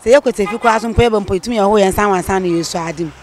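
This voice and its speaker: A woman's voice: medium pitch, very fast, a little mellow. A middle-aged woman answering at her market stall, matter-of-fact. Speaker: market trader. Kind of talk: street interview answers. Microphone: handheld mic held by interviewer.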